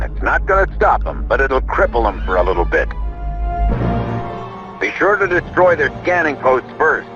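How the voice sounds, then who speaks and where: A man playing a steady, authoritative rebel general giving measured mission briefings in a video game. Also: mid-range voice, natural voice. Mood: urgent briefing. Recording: dull lo-fi game audio under music.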